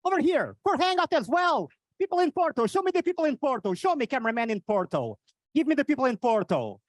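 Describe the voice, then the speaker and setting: A Portuguese man with a Portuguese accent and an odd, cartoonish voice, doing energetic stand-up and teasing the audience on stage. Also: very high-pitched, quick pace, dry recording, studio quality. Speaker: stand-up comedian. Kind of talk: live stand-up with crowd work. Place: on stage at a live event.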